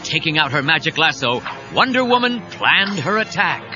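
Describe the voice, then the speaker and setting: Male narrator, dramatic superhero storytelling, high pitch, very lively intonation, muffled tape, music underneath. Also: normal speed. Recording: dull cassette audio with music.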